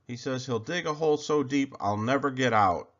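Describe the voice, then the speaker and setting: A man's voice: medium pitch, normal speed, fairly bright tone, muffled recording, pitched above the performer's own voice, close to an everyday voice. A man voicing a timid but imaginative young boy in a storybook, speaking earnestly and plainly. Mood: worried.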